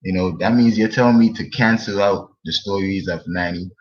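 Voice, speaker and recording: A man co-hosting a casual history discussion, speaking hesitantly with many pauses and fillers. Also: deep voice, muffled recording.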